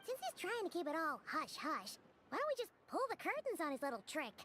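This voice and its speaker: Squeaky, excitable little fairy companion. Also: female voice, fairly quick, noisy background. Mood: mischievous. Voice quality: squeaky childlike voice. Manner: exclaiming, wondering questions. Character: tiny excitable childlike female fairy companion, naive and eager. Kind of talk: scripted game dialogue.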